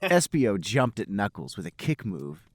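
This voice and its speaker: A man with a lowish voice reads a story aloud as a podcast host. His pitch moves around a lot, and he keeps a mock-serious storyteller's delivery in a dry, close recording. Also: huge pitch swings, normal speed, no room sound. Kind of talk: comic dramatic reading of amateur fiction.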